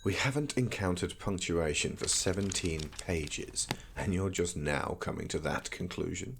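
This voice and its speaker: Young royal scholar: condescending, formal, very low and gravelly, audio drama. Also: male voice, background noise. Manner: formal, measured, haughty. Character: condescending, formal young prince studying magic.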